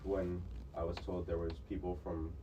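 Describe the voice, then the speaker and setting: A man's voice: low voice, little pitch movement, moderate pace, mellow, recorded from a distance, slight background noise. A young man, a student guest on a campus radio show, answering plainly and a bit hesitantly. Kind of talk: casual radio interview.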